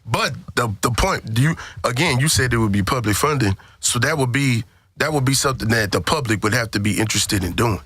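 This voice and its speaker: A man's voice: mid-range voice, expressive, fairly quick, a bit bright, slightly rough, dry recording, clean studio sound. A man co-hosting a casual podcast discussion, emphatic and slangy, circling back to repeat his points. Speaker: podcast co-host.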